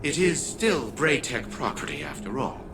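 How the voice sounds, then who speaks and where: A man's voice: mid-range voice, wildly swooping pitch, normal speed, over music. An actor playing a scheming older male scientist living in a machine body, speaking in a haughty, clipped way. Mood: scornful. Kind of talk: video game cutscene dialogue.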